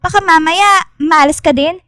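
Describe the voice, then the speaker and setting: A woman's voice: very high voice, normal speed, pushed and bright, no room sound, clean studio sound. A woman playing a snobbish, scheming young mean girl in a radio drama, haughty and taunting, mixing English into her lines. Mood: taunting, scornful.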